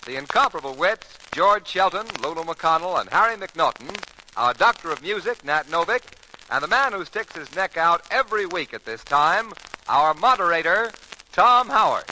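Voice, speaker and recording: A fairly high, very bright and forceful male announcer with animated intonation. He introduces a vintage radio show over a faintly noisy old recording. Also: moderate pace.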